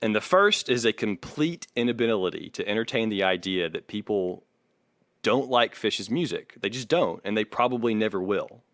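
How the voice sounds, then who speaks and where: A man's voice: fairly low pitch, animated, normal speed, fairly bright tone, no echo. A man co-hosting a casual podcast, reading from articles and arguing his points in an opinionated way. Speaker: podcast co-host.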